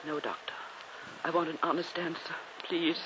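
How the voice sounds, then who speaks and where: Through clear background noise on an old radio recording comes an actress as a frail noblewoman near death. She is proud and secretive, with a weary, formal, dramatic delivery. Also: deep voice, little pitch movement, normal speed, close to an everyday voice. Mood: weak, imploring. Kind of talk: 1940s radio acting.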